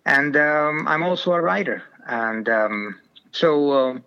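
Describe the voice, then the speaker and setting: A man's voice: on the high side, animated, slow, smooth. A middle-aged man from Venezuela, a podcast guest on a phone line, talking hesitantly with many fillers.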